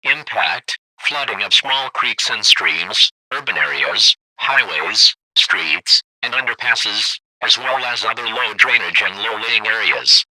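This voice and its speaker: A man's voice: fairly low pitch, animated, slow, few pauses, bright, forceful tone, no echo, rough recording. A synthetic-sounding man reading scripted emergency weather warnings in a formal, impersonal, clipped way. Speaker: emergency alert announcer.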